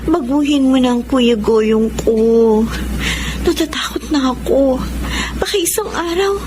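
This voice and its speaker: A young, devout little sister with a high voice, earnest and easily exasperated. It is radio drama acting, with clear ambient noise behind her. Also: measured pace. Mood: weary, pleading. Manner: earnest, pleading, fretful.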